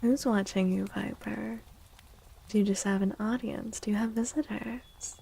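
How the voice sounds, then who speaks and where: Soft breathy woman, gravelly fry, ASMR. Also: medium pitch, fairly even intonation, measured pace, rough recording. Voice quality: soft, breathy, often half-whispered. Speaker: livestream host and tarot reader. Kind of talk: soft-spoken ASMR livestream chat.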